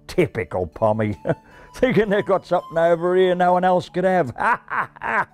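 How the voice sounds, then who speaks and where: A man voicing a brash, excitable storybook pigeon with an Australian accent and a blunt, slangy manner. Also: high voice, moderate pace, faint music behind, pitched above the performer's own voice. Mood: excited, scornful.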